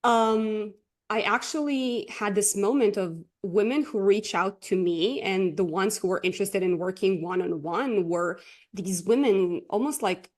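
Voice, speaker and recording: Woman, podcast guest, chatty, fillers, laughs often, mid pitch. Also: a little slow, darkish tone. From Croatia. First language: Croatian. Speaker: guest coach. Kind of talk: casual interview chat.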